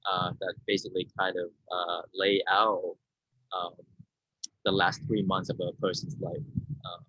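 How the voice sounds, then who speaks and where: Husky guy in his 30s from vietnam, hesitant, feeling for words, dull video call sound. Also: sits low, level tone, unhurried, pushed and bright. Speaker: artist being interviewed. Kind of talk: informal talk about own artwork. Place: indoor room, on a video call. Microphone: video-call microphone. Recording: muffled, roomy video-call audio.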